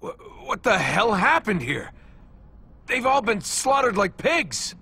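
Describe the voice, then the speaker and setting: A man's voice: high voice, big pitch swings, slow pace, bright, forceful tone, husky, exaggerated voice. A young man voicing a brash, flippant gun-for-hire in a video game, cocky and colloquial. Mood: shocked.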